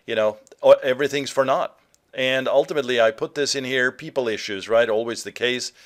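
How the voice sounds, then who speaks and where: A man from Norway presenting an online marketing webinar in a conversational, somewhat halting way with frequent fillers. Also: medium pitch.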